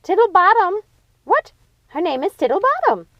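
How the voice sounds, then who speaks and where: Lady doing a shrill giddy witch sister from a storybook, mocking, swoops way up high. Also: moderate pace, dry recording, lo-fi sound, full character voice. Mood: indignant. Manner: excitable, screechy exclamations. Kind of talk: storybook character voice.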